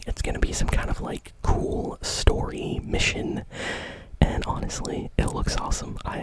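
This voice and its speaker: Guy whispering gaming news asmr style, all breathy no real voice, casual chatty host. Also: low-quality recording. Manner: casual, informal, conversational.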